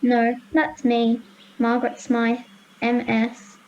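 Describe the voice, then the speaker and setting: Young girl acting a bullied schoolgirl, higher-pitched, matter-of-fact, children's radio drama. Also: completely flat delivery, slow pace, darkish tone, highs cut off. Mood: resigned. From Australia.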